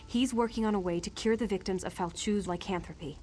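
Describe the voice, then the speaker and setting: An actress playing a steady, practical female war leader in a fantasy video game, speaking in a measured, serious way. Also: mid-range voice, lightly acted. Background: clear music.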